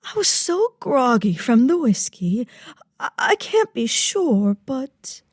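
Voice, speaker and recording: A rich dramatic widow in a radio noir, high voice, swoopy and swoony, pretty dry sound. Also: female voice, big pitch swings, slow, slightly rough, no echo, exaggerated voice. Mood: distraught. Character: wealthy melodramatic widow, sultry and self-pitying. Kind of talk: parody of old-time radio detective drama.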